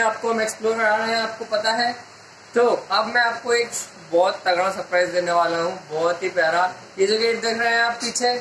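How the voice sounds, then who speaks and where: A man's voice: high-pitched, bright, forceful tone, a little hiss or hum, low-quality recording. A young man in his twenties showing viewers around his shop in a casual vlog, upbeat and mixing English phrases into his talk, filmed on a phone held at arm's length. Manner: upbeat, mixes English into Hindi. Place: inside a small electronics shop.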